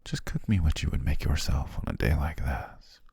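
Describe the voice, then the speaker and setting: A man playing a courteous, self-amused demon king in an ASMR roleplay, speaking in a genteel, formal way with a husky, breathy voice. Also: expressive, moderate pace, no echo, full character voice. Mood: playful, gracious. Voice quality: very deep, husky, gravelly, often breathy near whisper. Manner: leisurely, genteel, archly formal with soft chuckles.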